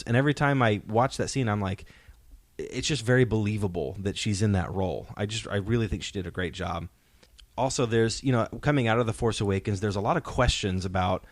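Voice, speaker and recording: A man's voice: fairly low pitch, no room sound. A man hosting a podcast in casual pop-culture discussion, explaining his points and posing rhetorical questions. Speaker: podcast host. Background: soundtrack music playing faintly.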